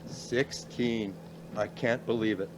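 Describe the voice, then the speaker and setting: An actor playing a polite, gentle middle-aged professor in a radio play. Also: male voice, on the low side, fairly even intonation, slow, slight background noise, rough recording. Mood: friendly, curious.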